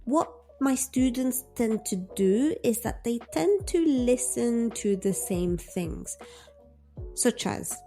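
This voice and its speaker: A woman's voice: on the high side, lively intonation, slow, warm tone, faint music behind. A woman in her thirties, a native French speaker with a British English accent, giving an encouraging English lesson to camera and repeating key points for emphasis. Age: 30s. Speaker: English teacher.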